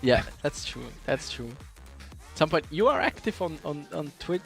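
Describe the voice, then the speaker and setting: A man's voice: fairly high pitch, expressive, a little slow, creaky, fairly bright tone. A man commentating an esports game in a casual, conversational way with frequent hesitations. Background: background music.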